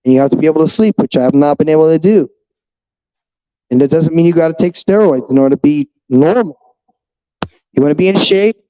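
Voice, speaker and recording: A fifty-year-old man rants straight at his viewers in a casual vlog, blunt and quick to swear. His voice sits a bit high but sounds dark and mellow. The recording is dull and lo-fi. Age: 50s. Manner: blunt, profane, ranting. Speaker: vlogger.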